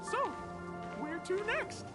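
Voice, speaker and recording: High, bouncy little cartoon clock mascot. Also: big pitch swings, takes it slowly, loud music behind the voice, heavily acted. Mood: curious, puzzled. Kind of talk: video game voice acting.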